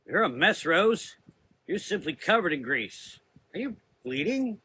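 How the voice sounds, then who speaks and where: A man acts out a brooding occult adventurer in gothic horror audio drama. He speaks solemnly and formally, cryptic in manner, with a clear forward brightness to the voice. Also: high voice, sing-song, a little slow, a little hiss or hum, pitched above the performer's own voice, heavily acted. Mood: concerned.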